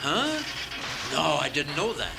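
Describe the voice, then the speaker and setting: A man's voice: a little high, huge pitch swings, unhurried, creaky, exaggerated voice. A man playing a distracted, rambling middle-aged police chief in a comic radio play. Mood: urgent. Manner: rambling, absent-minded, dramatic. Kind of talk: comic radio theater acting. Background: music.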